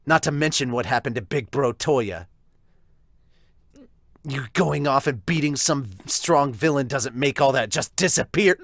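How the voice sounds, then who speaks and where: A man's voice: mid-range voice, unhurried, dry recording. A man voicing a young man lashing out at his father with bitter sarcasm, while fighting hiccups. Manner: bitter, sarcastic, rising to shouting.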